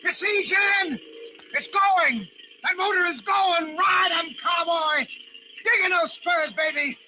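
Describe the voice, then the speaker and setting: An actor playing a desperate lighthouse keeper narrating a storm ordeal in an intense, breathless old-time radio drama style. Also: male voice, very high-pitched, takes it slowly, noisy background. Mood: wild elation. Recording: muffled old radio recording with dramatic music.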